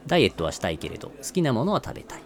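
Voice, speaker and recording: A man's voice: medium pitch, wildly swooping pitch, rapid-fire. A man playing a friendly, pushy male marketer in an amateur radio drama, asking questions like a salesman. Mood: friendly, persuasive. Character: Mark, cheerful pushy male marketer chatting up a colleague.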